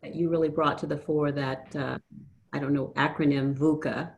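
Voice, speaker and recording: A woman with a low voice talks as a podcast guest. She works her way through her thoughts hesitantly, pausing often with "um.". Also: little pitch movement. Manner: hesitant, with many "um" pauses. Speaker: interview guest. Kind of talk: conversational interview.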